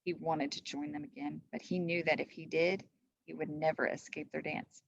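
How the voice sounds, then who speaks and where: Woman teacher for storybook narration, gravelly edge, dry but slightly dull recording. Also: deep voice, flat delivery, moderate pace, no room sound. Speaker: teacher reading aloud. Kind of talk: storybook read-aloud narration.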